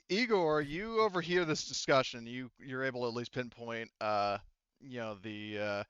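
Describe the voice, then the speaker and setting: A man's voice: medium pitch, unhurried, fairly bright tone, clean tone, dry recording, lo-fi sound. A man narrating a tabletop roleplaying game as game master in a casual, sometimes profane way.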